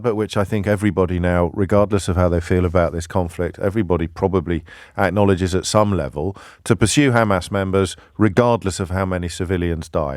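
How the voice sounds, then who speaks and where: Deep-voiced radio phone-in host. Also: male voice, no echo, clean studio sound. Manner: measured, forceful argument with deliberate pauses. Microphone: studio microphone.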